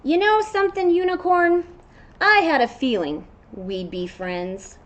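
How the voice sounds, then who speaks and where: A woman's voice: very high-pitched, unhurried, fairly bright tone, dull audio, voice pushed up. A woman voicing a brash, boastful goat from a children's picture book, exclaiming in a playful, swaggering way. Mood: excited, friendly. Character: brash, boastful goat who envies a unicorn.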